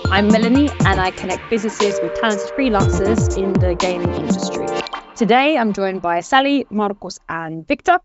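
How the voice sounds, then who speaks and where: A woman's voice: medium pitch, normal speed. A woman hosting a panel podcast, introducing guests with upbeat, brief affirmations. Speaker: podcast host. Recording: dull dry sound, faint music.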